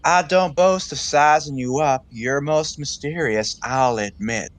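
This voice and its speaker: A man's voice: on the high side, unhurried, natural voice. An actor playing an earnest, lovestruck, rather pompous young man in a 1920s comedy audio play, speaking in a florid, self-serious way.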